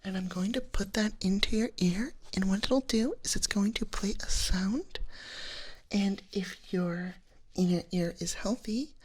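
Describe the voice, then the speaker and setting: A woman playing a gentle, reassuring dragon nurse in an ASMR roleplay, speaking in a soft breathy whisper with a soothing clinical manner. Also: medium pitch. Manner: slow, soothing, reassuring clinical bedside talk. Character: gentle reassuring female dragon nurse giving hearing exam.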